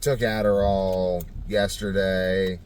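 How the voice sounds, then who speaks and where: A man's voice: low voice, little pitch movement, slow, a little mellow, a little hiss or hum. A man talking casually off the cuff for a video diary, thinking aloud in a rambling way. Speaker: vlogger.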